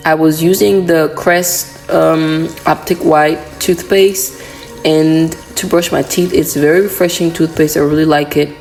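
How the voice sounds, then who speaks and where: A woman narrating a voiceover for her morning routine vlog, hoarse from a cold, chatty and relaxed with frequent "um"s. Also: low voice, unhurried, soft background music. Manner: relaxed, chatty, many "um" fillers. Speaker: vlogger.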